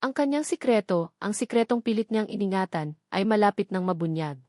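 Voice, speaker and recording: A woman narrates a suspenseful romance story in a dramatic, scripted style. Her voice sits at a middle pitch and is recorded close and dry, with no room sound. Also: a little fast, warm tone, no echo.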